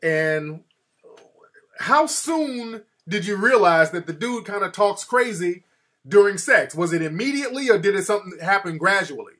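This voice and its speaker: Male host, high voice, crude humor, quick follow-ups. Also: expressive, a bit bright. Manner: blunt and joking, laughs often, quick follow-up questions. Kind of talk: casual chat.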